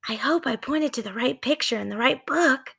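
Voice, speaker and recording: Woman acting as young storybook girl, raised pitch, eager, adventurous, expressive. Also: moderate pace, creaky, husky, exaggerated voice. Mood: earnest, wishing.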